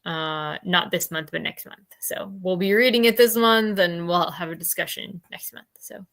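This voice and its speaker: A woman with a bright, forward voice and plenty of vocal fry hosts a casual book chat. She speaks tentatively, working out her thoughts as she goes. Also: lowish voice, moderate pace. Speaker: host of a book discussion livestream.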